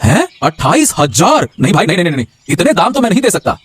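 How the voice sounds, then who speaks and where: A man's voice: high voice, big pitch swings, quick pace, husky, exaggerated voice. A man voicing a poor, meek village husband in a cartoon, hesitant, apologetic and pleading, often repeating his phrases. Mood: anxious pleading. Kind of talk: melodramatic Hindi cartoon voice acting.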